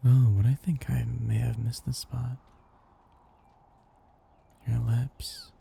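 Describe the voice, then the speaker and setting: A man voicing a possessive, tender vampire noble in an intimate ASMR roleplay, coaxing slowly and dotingly in a husky, gravelly voice. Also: mid-range voice, fairly even intonation, a little hiss or hum. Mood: hungry, teasing. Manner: slow, coaxing and doting, with soft hums.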